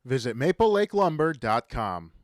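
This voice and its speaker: Male radio announcer, American accent, scripted promo read, animated intonation, prominent music bed. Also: mid-range voice, moderate pace.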